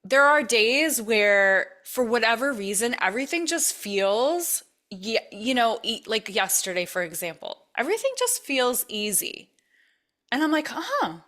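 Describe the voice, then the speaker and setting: A woman's voice: fairly high pitch, big pitch swings, a little slow, a bit bright. Emphatic woman hosting a podcast. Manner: affirming, emphatic, repeats phrases for effect. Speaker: podcast host. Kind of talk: reflective interview hosting.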